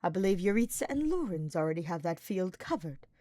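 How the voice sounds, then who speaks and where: Lady doing a grumpy dark mage guy, sardonic n formal, audiobook character, close dry sound. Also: lowish voice, very animated, fairly quick, no echo. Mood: muttering, scornful. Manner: dry, dismissive, formal phrasing. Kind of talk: fan fiction audiobook character voice.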